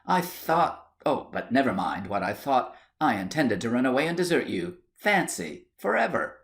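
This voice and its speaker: A man's voice: medium pitch, very animated, moderate pace, creaky, fairly bright tone. A man voicing a fretful, overwrought wife in a storybook tale, exclamatory and breathless. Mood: remorseful.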